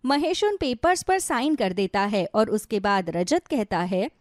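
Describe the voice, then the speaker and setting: A woman's voice: mid-range voice, lively intonation, measured pace, no echo, clean studio sound. A woman narrating a scripted moral story as its storyteller.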